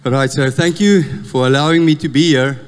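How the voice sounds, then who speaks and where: A man in his fifties with a South African Afrikaans accent, preaching a sermon and telling stories with lively, self-mocking humour. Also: fairly high pitch, a little fast, smooth. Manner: storytelling, animated, laughs at himself. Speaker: guest preacher. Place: church hall. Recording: long church reverb.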